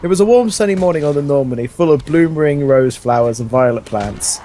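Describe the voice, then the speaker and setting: Lively young man reading fan fiction. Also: a little high, brisk, a little hiss or hum. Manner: jokey, stumbles over lines. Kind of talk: casual story reading with banter.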